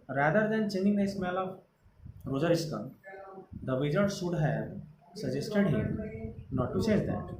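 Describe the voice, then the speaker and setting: Indian English male teacher, slightly high voice, earnest and halting, echoey room with noise. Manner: earnest, repetitive, with frequent hesitations. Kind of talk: explaining a textbook chapter.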